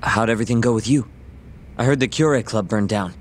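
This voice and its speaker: An actor voicing a casual, laid-back friend in a video game. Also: male voice, lowish voice, brisk, slight background noise, lightly acted. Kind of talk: video game dub acting.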